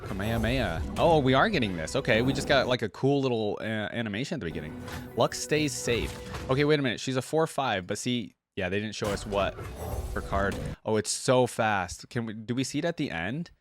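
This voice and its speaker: A man's voice: mid-range voice, animated, a little fast. A man giving casual, slangy gaming reaction commentary, thinking aloud as he goes. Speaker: reaction video host. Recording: music playing behind the voice. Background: game trailer and theme music.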